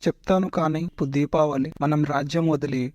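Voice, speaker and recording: A man's voice: a little high, fairly even intonation, brisk, a little mellow, no echo, natural voice. A man voicing a loyal, long-suffering old royal servant who laments in mock-tragic parody cartoon style. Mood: resigned, confiding. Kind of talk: Telugu parody cartoon dubbing.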